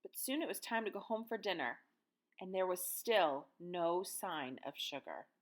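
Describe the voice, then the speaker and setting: A woman's voice: on the low side, expressive, a little slow. An American woman in her thirties, a teacher reading and talking to young children in simple, guiding phrases. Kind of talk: picture-book read-aloud and lesson for children.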